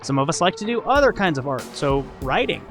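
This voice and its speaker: A man's voice: on the high side, sing-song, fairly quick, music in the background. A man hosting a casual podcast panel, talking in a rambling way and qualifying himself as he goes. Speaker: podcast host and voice actor.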